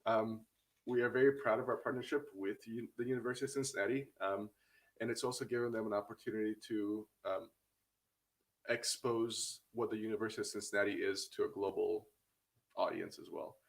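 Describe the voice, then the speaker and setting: A man with a fairly low voice hosts an informal panel discussion. He talks in an unscripted, halting way, often pausing and restarting his sentences. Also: fairly even intonation, vocal fry. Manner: halting, with frequent hesitations and restarts. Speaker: host, academy representative. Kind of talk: casual panel talk.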